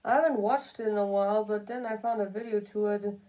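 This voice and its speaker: A woman's voice: mid-range voice, fairly even intonation, a little fast, warm tone, poor audio. A woman giving casual, unscripted opinion commentary, rambling and repeating phrases for emphasis. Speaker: commentary video creator.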